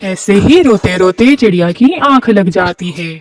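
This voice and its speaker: A woman's voice: medium pitch, lively intonation, a little slow, no echo, distant mic. A woman narrating a scripted children's story.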